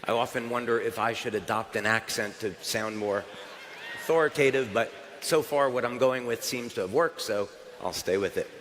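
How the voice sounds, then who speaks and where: A man's voice: mid-range voice, moderate pace, fairly bright tone, a little hiss or hum. American man presenting in a reverberant hall. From United States. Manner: chatty, upbeat, with fillers and restarts. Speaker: company presenter at a conference. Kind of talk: live stage presentation.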